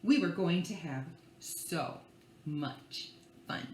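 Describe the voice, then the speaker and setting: Animated woman reading a picture book aloud. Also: lowish voice. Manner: playful, theatrical, drawn-out words with hushed asides. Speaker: librarian reading at children's storytime. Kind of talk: expressive picture-book read-aloud with asides to young listeners. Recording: echoey room with faint background noise.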